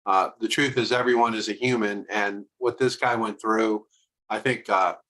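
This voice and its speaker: Male host, bright tone, rambling off-the-cuff commentary. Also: on the low side, fairly even intonation, moderate pace, rough recording. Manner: rambling, hesitant, with many fillers. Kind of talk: commentary between video segments.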